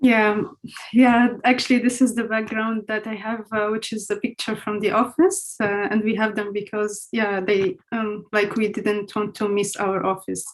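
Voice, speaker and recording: A woman's voice: medium pitch, flat delivery, moderate pace, poor audio. Young Syrian-born woman, chatty English. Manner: chatty, non-native phrasing, many fillers. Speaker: guest, front-end engineer. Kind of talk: casual interview answers.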